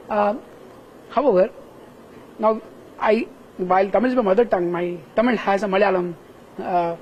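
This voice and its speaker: A man's voice: high voice, measured pace, long pauses, highs cut off, a little hiss or hum. Earnest Indian-accented male professor. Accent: Indian English. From Kerala, India. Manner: earnest, explains step by step with frequent "right?" tags. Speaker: professor interviewed at a tech summit. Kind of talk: explanatory interview answers. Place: busy summit venue.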